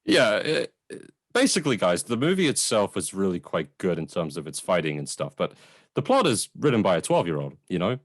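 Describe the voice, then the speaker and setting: A man's voice: low voice, sing-song, brisk, no room sound, studio quality. A man chatting casually as he reviews a film, with a blunt, informal, sweary way of talking. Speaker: film reviewer on a two-person show.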